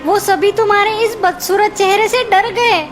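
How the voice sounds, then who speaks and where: A woman's voice: extremely high pitch, fairly even intonation, moderate pace, faint music behind. A woman voicing an emotional, petulant young boy in a children's cartoon, whiny and dramatic. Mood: angry, hurt. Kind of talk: Hindi children's cartoon dialogue.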